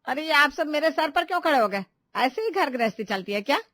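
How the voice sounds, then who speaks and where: A woman's voice: high voice, lively intonation, a little fast, fairly bright tone, no echo, clean studio sound. A woman voicing a strict, suspicious household matriarch in a family story, scolding and domineering. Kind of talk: animated Hindi family story dialogue.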